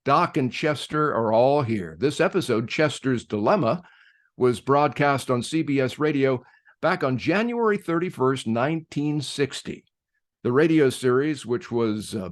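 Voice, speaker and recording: A man hosting, reading a scripted introduction to a radio play in a measured, emphatic announcer-like way. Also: medium pitch.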